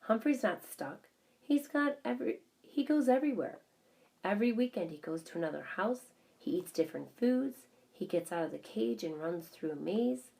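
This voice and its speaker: A woman's voice: mid-range voice, lively intonation, normal speed, creaky, a little mellow, pitched above the performer's own voice. A woman voicing a blunt but caring older wife, direct and emphatic, in a children's audiobook. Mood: firm, scolding. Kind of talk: children's audiobook character dialogue.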